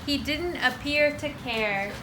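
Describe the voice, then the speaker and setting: High-voiced woman narrator in echoey room. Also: slow pace, noisy background. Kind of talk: scripted narration for amateur readers' theater.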